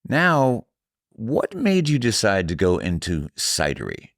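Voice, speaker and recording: Deep-voiced teasing male host. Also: huge pitch swings, slow, vocal fry, no echo, clean studio sound. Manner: teasing, jokey, steers the talk back. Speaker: podcast host. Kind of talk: casual interview chat.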